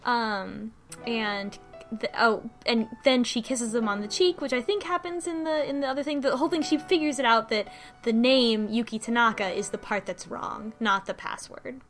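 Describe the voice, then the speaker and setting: Higher-pitched woman recapping a story over music. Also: steady tone, normal speed, fairly bright tone. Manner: informal, acts out characters' lines. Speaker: podcast co-host recapping a visual novel. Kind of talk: casual chat, plot recap from notes. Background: music under the talk.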